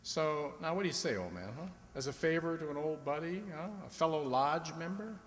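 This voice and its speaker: A man's voice: on the high side, lively intonation, a little fast, slight background noise, lightly acted. A man acting in a radio play as a henpecked, nervously eager husband, hesitant and wheedling, recorded in an echoey hall. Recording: echoey hall with long reverb.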